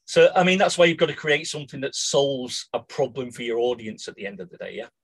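A man with a croaky voice, co-hosting a casual business podcast and often checking in with "yeah?". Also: medium pitch, steady tone, fast talker. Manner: addresses listeners as guys, ends lines with "yeah?". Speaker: podcast co-host.

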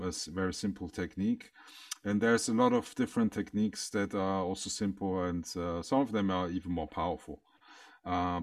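A man whose first language is German, a counselor speaking in a podcast interview, halting with many pauses and repeated words. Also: low voice, dry recording, studio quality, distant mic. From Austria. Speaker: counselor and breathwork guest.

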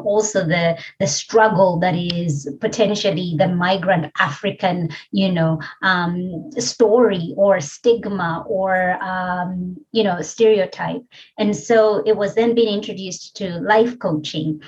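A woman's voice: lowish voice, fairly even intonation, slow pace, a little mellow, muffled recording. A middle-aged woman from Kenya with a Kenyan accent, a podcast guest telling her story earnestly and reflectively, with many fillers. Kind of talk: interview conversation.

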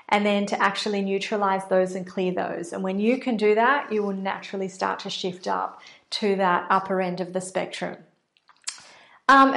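A woman's voice: mid-range voice, a bit bright, echoey room. Chatty Australian woman coaching. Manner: chatty, earnest, explains with fillers. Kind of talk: casual teaching monologue.

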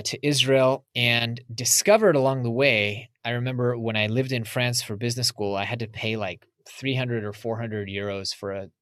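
A man's voice: mid-range voice, no echo. A man from the United States, a podcast guest, talking casually and reflectively in an interview.

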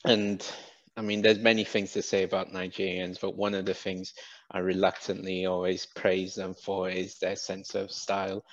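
A man's voice: low-pitched, completely flat delivery, normal speed. A man from the UK with a British accent, chatting as a podcast guest and hesitantly correcting himself as he goes. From UK, Wales. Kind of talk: casual conversational interview. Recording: slightly dull, lo-fi sound.

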